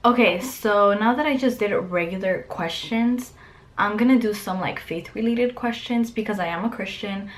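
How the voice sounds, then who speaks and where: A woman's voice: mid-range voice, moderate pace. A young woman from Mexico, Spanish her first language, chatting casually to camera in her bedroom and answering get-to-know-me questions with lots of "like" fillers. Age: young adult. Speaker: vlogger. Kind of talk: casual Q&A chat. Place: bedroom, indoors. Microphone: camera close up.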